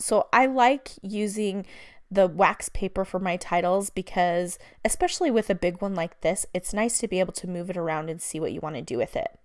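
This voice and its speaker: A woman, slightly hoarse from a cold, chatting casually as she narrates her crafting in a voice-over, with lots of fillers along the way. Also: medium pitch, no room sound, studio quality. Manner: rambling and chatty, with many fillers.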